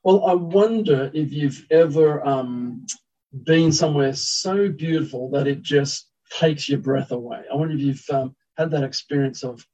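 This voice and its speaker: A man's voice: a little high, measured pace, mellow. A male pastor praying and then preaching a sermon, talking conversationally with frequent hesitations. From Thailand. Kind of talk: sermon with opening prayer. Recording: dull lo-fi sound.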